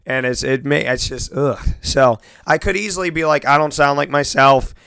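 A man hosting a sports talk radio show, his voice hoarse and scratchy from losing it, chatting casually with listeners. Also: medium pitch, moderate pace, fairly bright tone, no room sound. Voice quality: scratchy, raspy. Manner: chatty, self-deprecating, addresses listeners as folks.

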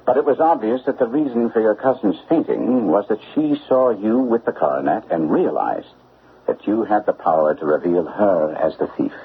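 Shrewd, exacting radio detective. Low, animated, formal delivery on a narrow, phone-like old recording. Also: male voice, normal speed, a little hiss or hum. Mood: assured, explaining. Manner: precise, formal, incisive delivery. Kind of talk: classic radio drama acting.

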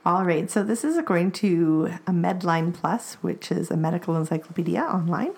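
A woman in her forties co-hosting a parenting podcast, reading out guidelines and research in a steady, informative way. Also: on the low side, normal speed, vocal fry. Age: 40s. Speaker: podcast co-host.

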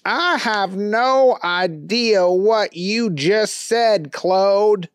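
A high, dopey slob of a man. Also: clear, resonant tone, no room sound, studio quality. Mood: bewildered. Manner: slow, bewildered drawl. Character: dim-witted slobby grown man with bad teeth.

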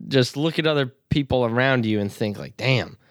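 A young man in his early twenties with a medium-pitched voice and a close, dry sound. He speaks casually, as a co-host chatting on a podcast. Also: moderate pace, no echo. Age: early 20s. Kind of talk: casual chat.

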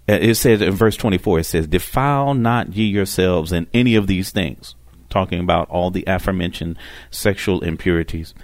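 A man with a deep voice teaches scripture as a broadcast preacher. He speaks deliberately and haltingly, often doubling back on his phrases. The sound is dry, with little room around him. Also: dry recording. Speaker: preacher hosting a religious broadcast. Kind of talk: Bible teaching, unscripted explanation of scripture.

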